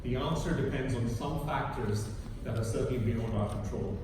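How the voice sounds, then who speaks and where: A middle-aged man from Kenya giving a prepared talk to an audience, halting with frequent restarts and fillers. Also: medium pitch. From born in India, grew up in Kenya. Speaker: conference speaker. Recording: echoey hall from far off with background noise.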